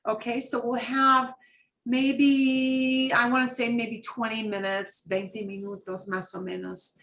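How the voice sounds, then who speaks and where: A woman teaches a beginners' Spanish class over a video call. She is chatty and patient, and she drops Spanish words and phrases into her English explanations. Her pitch is medium for a woman. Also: fairly bright tone.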